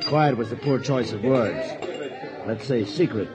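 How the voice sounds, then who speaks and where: A man playing a measured, matter-of-fact insurance executive in a 1940s radio drama. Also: mid-range voice, natural voice. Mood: serious. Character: businesslike male insurance company vice president. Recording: muffled old radio, crowd noise behind.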